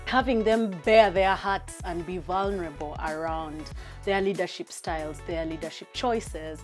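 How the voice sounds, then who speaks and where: A woman hosting a talk show, introducing and teasing her guest with a playful, laughing manner. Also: lowish voice. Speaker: host.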